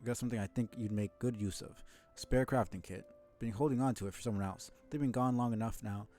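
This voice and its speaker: Man voicing a folksy, gruff game tavern keeper. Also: mid-range voice, fast, dark tone, faint music behind, close to an everyday voice. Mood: grateful. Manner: folksy, old-fashioned phrasing. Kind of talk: voicing video game dialogue aloud.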